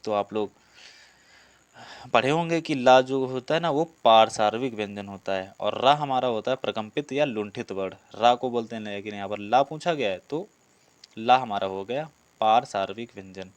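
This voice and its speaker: A man's voice: lowish voice, normal speed, clean tone, no room sound. A man teaching an exam-prep class, reading out questions and explaining answers in an instructional, repetitive way, addressing students directly. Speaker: Hindi grammar teacher for police exam students.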